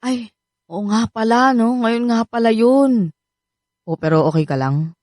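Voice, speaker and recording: An actress with a Filipino accent playing a teasing but concerned confidante in a radio drama, chatty and exasperated. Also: female voice, fairly high pitch, measured pace, a little mellow, dry recording, studio quality, lightly acted.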